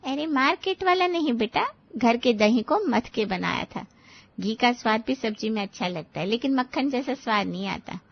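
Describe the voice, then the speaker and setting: Kindly middle-aged village aunt for a cartoon family tale, female actor, high voice, patient, slightly muffled recording. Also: expressive, moderate pace, creaky, dry recording. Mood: friendly, explaining. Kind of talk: cartoon story dialogue.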